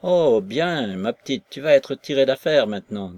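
A man's voice: medium pitch, sing-song, moderate pace, a little mellow, husky, no echo. A man voicing a worldly woman prisoner who talks in a coaxing, knowing way.

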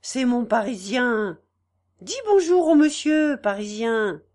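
A woman's voice: on the high side, big pitch swings, slow. A woman doing a humble peasant mother. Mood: cheerful. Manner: gentle, plain country speech.

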